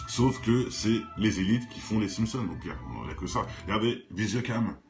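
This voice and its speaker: A man with a low-pitched voice talks over faint music in an off-the-cuff, slangy way. He speaks straight to his viewers, insistently repeating phrases to hammer them home, like an informal online commentator. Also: a little fast. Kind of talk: casual improvised commentary on cartoons and conspiracy theories.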